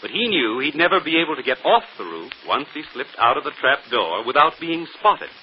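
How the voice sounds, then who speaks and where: An actor playing a confident American gentleman detective, explaining his deductions in an articulate, theatrical 1940s radio manner. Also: medium pitch, big pitch swings, a little fast, lightly acted. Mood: assured, deductive. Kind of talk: 1940s radio mystery acting. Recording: muffled, hissy old radio broadcast.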